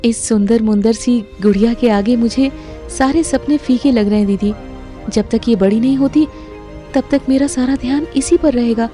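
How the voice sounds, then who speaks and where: A young woman playing a sweet, trusting unwed mother in an audio drama, speaking softly and earnestly. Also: fairly high pitch, moderate pace, faint music behind, natural voice. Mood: earnest, reassuring. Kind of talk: Hindi audio drama acting.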